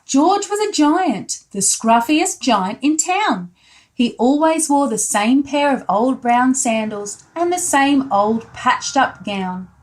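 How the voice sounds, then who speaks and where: A woman reads a picture book for pre-school children. Her voice is high and her pace very slow. She adds simple, child-directed comments as she goes. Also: lively intonation. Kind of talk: children's picture-book read-aloud with asides.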